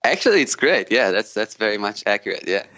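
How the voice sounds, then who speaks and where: Low Israeli-accented man, muffled sound. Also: very animated, fast talker, sharp tone. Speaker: podcast guest, jazz guitarist. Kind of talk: casual conversational interview.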